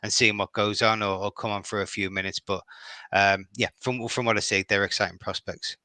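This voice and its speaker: Bright-voiced Liverpool man, dry close mic. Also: on the low side, flat delivery, fairly quick, no echo. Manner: hesitant, repeats words, warm praise. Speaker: guest fan. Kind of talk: casual podcast chat.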